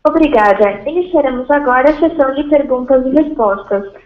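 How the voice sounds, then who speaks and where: A woman's voice: high voice, brisk. A woman with a Brazilian accent reading formal scripted announcements as a conference call operator. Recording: echoey, dull conference call audio.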